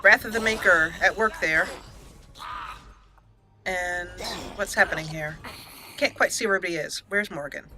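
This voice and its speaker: A woman's voice: lowish voice, moderate pace, long pauses, poor audio. A woman hosting a let's play, chatting casually and self-mockingly as she plays, often giggling. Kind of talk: casual gameplay commentary. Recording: faint game music behind. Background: game music.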